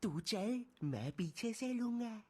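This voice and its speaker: A sassy young battle-game heroine. She draws out teasing one-liners slowly, with a lilting, swooping pitch set a little high. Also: expressive, warm tone.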